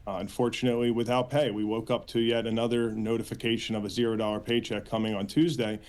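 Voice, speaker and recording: Earnest American man, halting interview delivery. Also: on the low side, little pitch movement, darkish tone. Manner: halting, hesitant phrasing with frequent repeats, earnest. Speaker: union official, interview guest. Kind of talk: spoken news interview answers.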